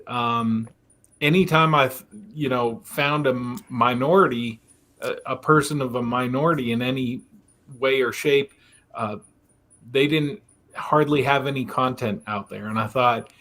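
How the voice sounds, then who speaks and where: A man in his forties hosting a casual interview, talking in a relaxed, easygoing way with soft fillers. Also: medium pitch. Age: 40s. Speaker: interview host, YouTuber.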